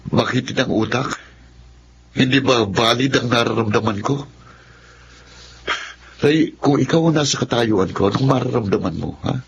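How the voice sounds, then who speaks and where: A man's voice: medium pitch, moderate pace, long pauses, natural voice. Man as young Filipino son, muffled noisy recording. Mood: hurt, plaintive. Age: young adult. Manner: plaintive, earnest, brooding. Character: hurt, lonely rich student son, sulky. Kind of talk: Tagalog radio drama acting. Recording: muffled, clearly noisy radio drama.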